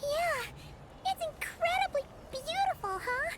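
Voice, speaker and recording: A woman voicing a tiny, childlike fairy guide in a video game, squeaky, bubbly and cheeky. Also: animated, a little slow, heavy background noise. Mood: amazed. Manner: bubbly, childlike, speaks of self in third person. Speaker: game voice actor. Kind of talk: cartoonish game mascot acting. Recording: loud game music behind.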